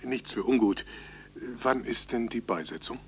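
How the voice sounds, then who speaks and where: An actor playing an effusively polite, nosy acquaintance in a radio play, chatty and breezy, with a raspy voice. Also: male voice, mid-range voice, a little slow. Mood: breezily curious.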